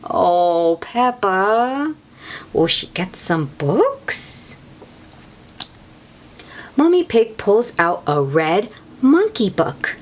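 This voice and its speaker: A woman's voice: mid-range voice, big pitch swings, drawn-out words, pauses often. A woman reading a picture book aloud to young children, exclaiming and adding encouraging asides addressed straight to them.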